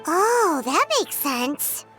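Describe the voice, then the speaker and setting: A woman voicing a tiny, chirpy, childlike fairy companion with a squeaky voice in a video game. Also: sing-song, extremely slow, over music, full character voice. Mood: surprised. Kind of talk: scripted video game dialogue acting.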